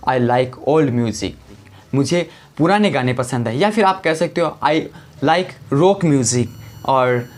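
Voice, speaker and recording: A man teaching a lesson, calmly explaining step by step and saying each example sentence slowly and deliberately. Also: on the high side. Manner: calm step-by-step explaining, repeats "guys". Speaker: English teacher.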